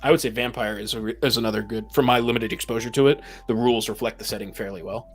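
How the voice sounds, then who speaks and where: A man explaining his view in a measured, analytical way during a casual discussion. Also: medium pitch, fairly even intonation, over loud music. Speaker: discussion participant.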